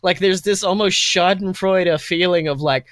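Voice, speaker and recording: A man's voice: high voice, normal speed, fairly bright tone, no echo. A young man co-hosting a podcast, chatting casually and enthusiastically in a rambling, gushing way that often interrupts itself. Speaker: podcast co-host.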